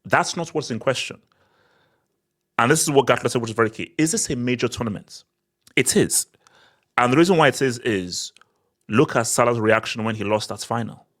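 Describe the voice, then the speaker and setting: A man from Nigeria makes his case in a loose podcast chat. His pitch sits in an ordinary middle range, and his delivery is animated and emphatic, with quick restarts and doubled words as he pushes each point home. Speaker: podcast co-host. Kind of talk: casual football discussion.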